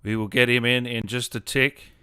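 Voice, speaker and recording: A man hosts a live stream in casual chat, with a bright, forward voice that is clean and resonant. He talks informally and chummily, and the sound is close and dry. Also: medium pitch, fairly even intonation, normal speed, smooth, dry recording. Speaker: streamer host.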